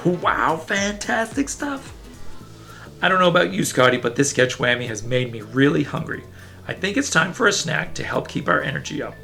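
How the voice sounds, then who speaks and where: A man reads to children with playful enthusiasm and lively swings in pitch. His voice is heavily creaky, and he drops in casual asides as he tells the story. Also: moderate pace, faint music behind. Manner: playful, enthusiastic, talking to young listeners. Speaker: children's book reader. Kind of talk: animated read-aloud storytelling with casual asides.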